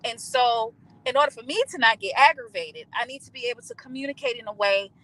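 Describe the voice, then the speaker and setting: A woman's voice: on the high side, fast talker, a bit bright, rough recording. A woman co-hosting a relationship talk podcast, chatting animatedly and slipping into a sugary tone for examples. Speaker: podcast co-host. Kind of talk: casual chat.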